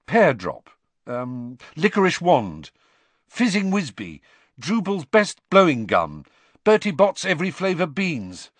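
A man's voice: a little high, wildly swooping pitch, slow pace, no room sound, pitched above the performer's own voice, full character voice. A man reading an audiobook and voicing an impatient, earnest teenage boy. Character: frustrated teenage boy wizard.

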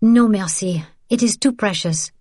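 Lady doing a scared but gutsy young french resistance girl, higher voice, pleading, audiobook story, dry sound. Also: a little slow, a little mellow, no echo, close to an everyday voice. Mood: anxious. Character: brave, frightened young French woman resistance courier.

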